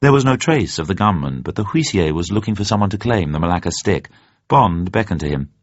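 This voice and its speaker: A man reading a story as a narrator in a measured, suspenseful, dramatic way. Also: low-pitched, animated. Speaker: audiobook narrator. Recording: dull, muffled, roomy radio recording.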